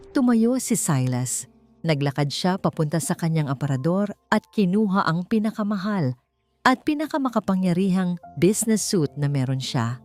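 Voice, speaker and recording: Low-voiced woman narrating a dramatic story. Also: darkish tone. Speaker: audiobook narrator. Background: background music.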